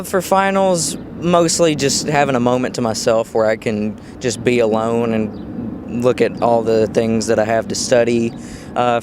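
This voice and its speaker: Clear outdoor noise with traffic surrounds a young male college student. He speaks casually in a medium-pitched voice, answering an interviewer. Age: young adult. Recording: noisy outdoor recording with traffic.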